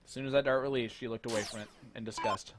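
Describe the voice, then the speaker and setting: A man doing relaxed play-by-play commentary on a darts match, chuckling between calls. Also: medium pitch, quick pace. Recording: noticeable background noise.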